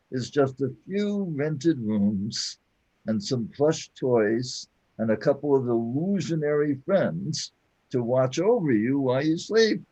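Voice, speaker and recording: A man's voice: medium pitch, warm tone, muffled recording. A man playing a patient, all-knowing companion in a scripted audio drama, explaining the cosmos in a declamatory way.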